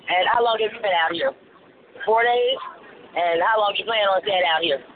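A man's voice: high-pitched, normal speed. A man from Colombia reporting live from a city street, speaking in a casual, rambling way with many fillers. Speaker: livestream street interviewer. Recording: tinny phone-like livestream audio.

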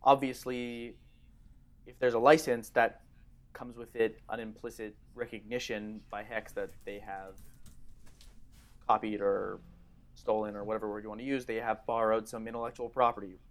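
A man's voice: fairly low pitch, flat delivery, moderate pace. A man co-hosting a casual podcast, explaining points emphatically with repeated starts. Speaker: podcast co-host.

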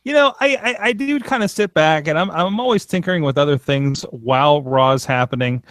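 A man co-hosting a podcast in casual chat, halting and repeating phrases for emphasis. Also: a little high, a bit bright, no echo, studio quality. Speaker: podcast co-host.

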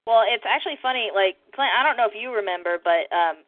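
A woman's voice: fairly high pitch, animated, quick pace, dry recording. An American woman talking casually as a guest on a podcast. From Kentucky, United States. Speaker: podcast guest, comic artist. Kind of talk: casual chat. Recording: thin phone-like audio, dry.

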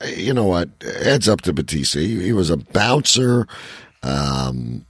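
A man co-hosting a casual podcast, chatting in a blunt, profane, opinionated way with a gravelly, husky voice. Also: deep voice, big pitch swings, unhurried, no echo. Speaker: podcast co-host. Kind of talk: casual banter about wrestling and movies.

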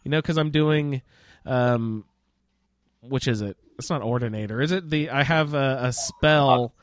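A man's voice: medium pitch, a little slow. A man chatting casually and enthusiastically as a panelist on a gaming roundtable podcast.

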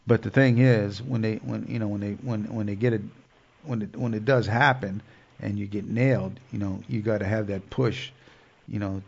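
A man's voice: on the low side, creaky, darkish tone, slightly rough. A man with a cold and a stuffed-up nose, hosting a show in a rambling casual monologue full of fillers and restarts. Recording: dull lo-fi sound.

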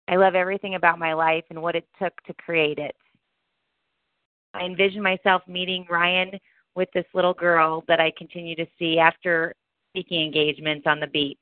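A woman's voice: on the low side, no room sound. A woman reading a scripted first-person visualization in affirming, declarative phrases over a telephone conference line. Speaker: speaker reciting a personal vision. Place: telephone call. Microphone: phone. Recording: tinny phone conference line.

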